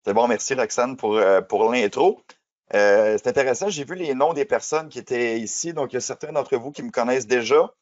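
A man, a software trainer giving a webinar while he has a cold and speaks with many fillers. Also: mid-range voice, fairly quick, muffled recording. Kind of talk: webinar presentation.

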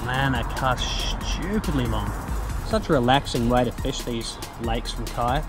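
A man's voice: medium pitch, unhurried, over loud music. A man in his thirties with a New Zealand accent, talking casually and enthusiastically to an action camera while fishing from a kayak on a lake. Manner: laid-back, slangy and enthusiastic. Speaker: fishing vlogger. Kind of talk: casual outdoor vlog. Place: outdoors on a lake in a small kayak. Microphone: action camera mounted on the kayak.

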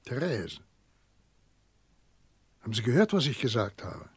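An older actor playing a kindly, remorseful old family doctor in a radio drama, fatherly, gentle and rough-voiced. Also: mid-range voice, sing-song, unhurried, dry recording, heavily acted. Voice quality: very husky, rough. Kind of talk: German radio drama acting.

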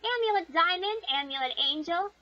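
A woman voicing a perky young magical girl from a cartoon, presenting herself brightly on an amateur recording. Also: very high-pitched, lively intonation, a little fast, muffled recording, background noise, voice pushed up.

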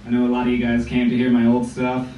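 A man's voice: mid-range voice, monotone, fast talker, mellow. A man in his late twenties with a nasal American voice, doing a dry stand-up set in a small club. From United States. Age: 20s. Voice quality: nasal, stuffy voice. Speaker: stand-up comedian. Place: small comedy club, indoors, with audience. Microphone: handheld mic, recorded from the crowd. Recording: roomy audience phone recording from far off. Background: audience laughter.

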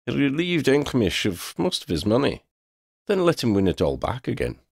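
A man's voice: medium pitch, huge pitch swings, normal speed, gravelly, dry recording, clean studio sound, voice pushed up, full character voice. A man voicing a proud, lordly talking male cat in a storybook, with pompous, formal wording. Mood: disdainful. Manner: pompous, formal wording with Scottish turns of phrase.

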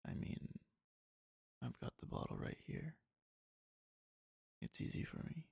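A man playing a possessive, controlling male captor in a whispered audio roleplay, with a slow, coaxing, domineering manner. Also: dry recording, studio quality, close to an everyday voice. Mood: coaxing menace. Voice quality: hushed, breathy whisper.

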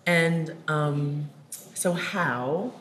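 A woman's voice: sits low, extremely slow, slight background noise. A woman trainer coaching a student through a mock interview, asking probing, encouraging questions in a classroom. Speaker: writing trainer. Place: classroom workshop, indoors.

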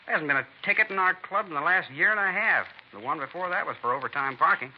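An actor playing an earnest, defensive young American hot rod club member in a 1950s radio drama, talking slangy and insistent. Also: male voice, fairly high pitch, expressive, fast, natural voice. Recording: dull 1950s radio recording, faint noise.

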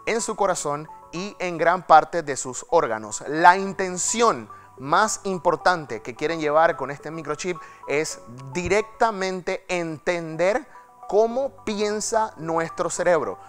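A man's voice: a little high, takes it slowly, fairly bright tone, faint music behind. A man presenting a technology segment in a TV news studio, talking in a chatty, dramatic way with fillers. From Panama. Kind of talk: studio tech segment, conversational.

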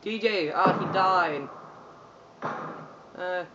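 A man trolling over game voice chat in a put-on squeaky, whiny, childish voice. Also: very slow, muffled recording, heavy background noise. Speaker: gamer trolling in online voice chat. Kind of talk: prank voice chat during online shooter.

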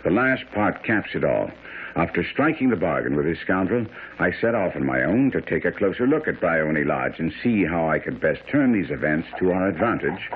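An actor playing a shrewd, confident detective in a radio drama. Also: male voice, low voice. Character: shrewd confident detective, at times posing as rough groom. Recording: noisy narrow-band old radio broadcast.

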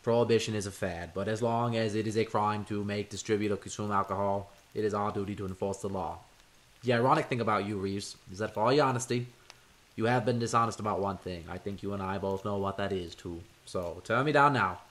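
Confident federal agent, brisk and authoritative, played by a man, old radio drama. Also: lowish voice, steady tone, fairly bright tone, close to an everyday voice. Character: confident male federal agent leading a task force. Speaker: Agent Jack Brewster.

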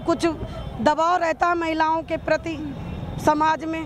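A hoarse middle-aged woman taking part in a street rally, speaking earnestly into a reporter's handheld mic. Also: extremely high pitch, little pitch movement, unhurried, a crowd in the background. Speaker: rally participant.

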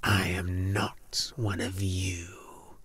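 A man voicing a dying werewolf in a storybook, bitter, menacing and weary, with a breathy, whispery growl. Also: low voice, flat delivery, fairly bright tone, no echo, full character voice. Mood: cold contempt. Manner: slow, drawn-out, scornful delivery.

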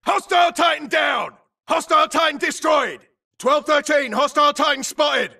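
Casting: aggressive video game grunt, urgent clipped yells, harsh gravel and hard bright edge. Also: male voice, extremely high pitch, moderate pace, far from the mic, heavily acted. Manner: urgent, clipped battlefield yelling. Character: frantic, aggressive male grunt soldier in battle. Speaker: game voice actor. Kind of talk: shouted combat barks for a shooter game.

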